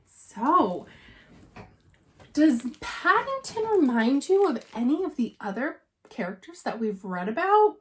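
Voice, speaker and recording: Lady teacher doing a kids read aloud, voice a bit high, super animated. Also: slow. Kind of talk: expressive children's storybook read-aloud.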